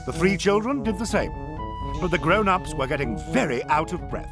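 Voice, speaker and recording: A man's voice: a little high, lively intonation, moderate pace, music in the background. A man narrating a dramatised children's audiobook in a scripted storytelling style.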